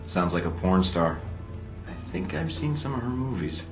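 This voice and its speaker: A man playing a cocky, wisecracking secret agent in a video game, talking with smooth, flippant sarcasm. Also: on the low side, big pitch swings, moderate pace. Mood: wry, relaxed. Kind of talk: video game cutscene acting. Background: prominent music.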